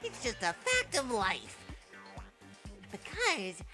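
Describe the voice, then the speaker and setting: High, wildly swooping mischievous robot bear. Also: female voice, wildly swooping pitch, takes it slowly, heavily acted. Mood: gleeful taunting. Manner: gleefully taunting, theatrical. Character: mischievous, crude, mocking robot bear mascot villain. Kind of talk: anime game fan dub. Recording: music behind the voice.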